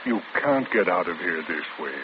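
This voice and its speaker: An actor playing a calm, gentle male prison priest in a 1940s radio drama, speaking in a measured, pleading way. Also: deep voice, gravelly, heavily acted. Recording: tinny old radio broadcast with static.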